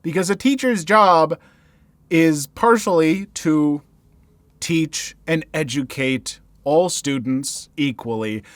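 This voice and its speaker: A man's voice: fairly high pitch, slow, no echo. A man reading viewer stories aloud as the channel's narrator, adding his own commentary between them. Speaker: story narrator.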